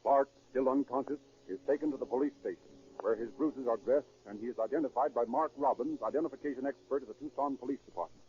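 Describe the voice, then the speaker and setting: A man's voice: medium pitch, fast, a little mellow, lo-fi sound, slight background noise. An American man narrating a 1930s radio crime drama in a formal, dramatic scripted style. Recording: thin 1930s radio recording with music.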